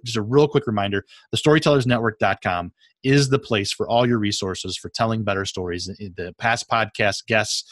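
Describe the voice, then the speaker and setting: A man's voice: lowish voice, a bit bright, dry recording. A man hosting a podcast in a conversational, enthusiastic and emphatic way. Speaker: podcast host and interviewer.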